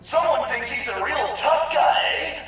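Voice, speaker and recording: Casting: gleeful, cruel raider showman for a game, high animated voice, taunting over a booming, distant loudspeaker. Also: a little slow, faint music behind, heavily acted. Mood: mocking. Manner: theatrical, mocking, showman-like. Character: gleefully sadistic male raider arena announcer taunting victims. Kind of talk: video game arena commentary. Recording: booming echoey public-address sound, distant.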